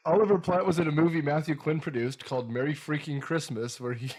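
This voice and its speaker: A man hosting a casual movie-review podcast, jokey and excitable, his sentences dropping low and quiet at the ends. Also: quick pace, poor audio.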